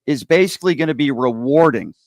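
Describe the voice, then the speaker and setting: An American man with a mid-pitched voice hosts a political interview. His sound is dry, with no room echo, as he puts questions and gives his own opinionated take. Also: fairly quick, a little mellow. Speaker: political talk show host, interviewer.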